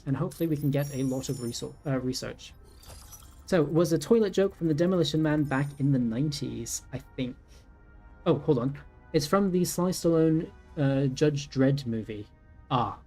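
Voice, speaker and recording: A man's voice: mid-range voice, moderate pace, dark tone, breathy edge. A man in his thirties giving casual let's-play commentary, thinking aloud with many ums and self-corrections. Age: 30s. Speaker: gaming YouTuber. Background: faint game music.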